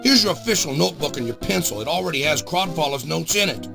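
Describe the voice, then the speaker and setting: An actor playing a gruff, blunt, middle-aged big-city newspaper boss in an adventure game, with music behind him. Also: male voice, a little high, lively intonation, fast talker. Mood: bossy. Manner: blunt, bossy, emphatic. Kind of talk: scripted adventure game acting. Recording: music under the voice.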